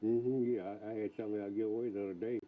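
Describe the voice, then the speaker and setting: A man's voice: fairly low pitch, steady tone, very fast. A man in his eighties with a Southern US accent, reminiscing in a folksy, plain-spoken rural dialect. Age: 80s. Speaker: elderly farmer, subject of a news feature. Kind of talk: unscripted reminiscing. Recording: thin phone-like sound, faint noise.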